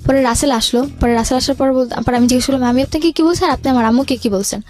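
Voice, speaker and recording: Fast-talking Bangladeshi woman, altered high voice. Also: a little mellow, faint music behind. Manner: quotes remembered conversations, mixes in English words. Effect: voice altered to hide identity. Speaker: guest telling own life story. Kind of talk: personal storytelling in radio interview.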